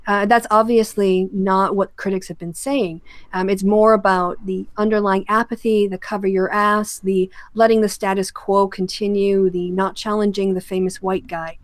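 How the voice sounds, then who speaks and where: Female talk host, mid-pitched, conversational and measured, very dry recording. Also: flat delivery, no room sound. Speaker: host of an online video channel. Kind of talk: conversational panel interview.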